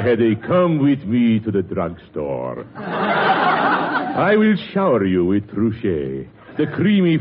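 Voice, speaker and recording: A man's voice: fairly low pitch, full character voice. An actor playing a glib radio man looking for work, in 1940s radio comedy style. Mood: mock-romantic. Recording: muffled noisy old radio recording.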